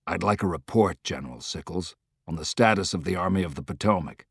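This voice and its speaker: A man voicing a terse, stern army general in an audiobook, muttering flatly with little emotion. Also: a little fast, vocal fry, husky, no echo, clean studio sound, full character voice. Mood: cool, neutral. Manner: muttering, low and flat, unemotional. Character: terse, stern, quietly commanding middle-aged army general.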